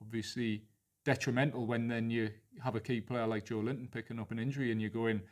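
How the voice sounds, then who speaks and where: A man's voice: mid-range voice, fairly even intonation, quick pace. Geordie man analysing football casually. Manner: fluent, analytical, thinks aloud with restarts. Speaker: football podcast co-host.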